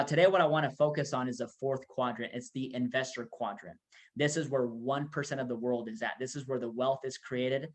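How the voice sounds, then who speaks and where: A man from Peru gives a relaxed sales presentation at a middle pitch for a man. He talks casually, with lots of "uh" and "you know". He tells stories as he builds toward the pitch. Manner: casual, many fillers, motivational storytelling. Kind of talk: business opportunity presentation.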